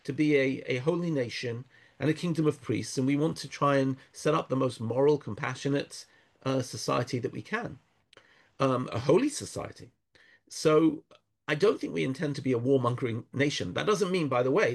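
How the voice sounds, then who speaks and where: A man speaking thoughtfully in an interview, pausing and restarting often, weaving in Hebrew verses. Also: medium pitch, vocal fry. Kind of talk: conversational interview.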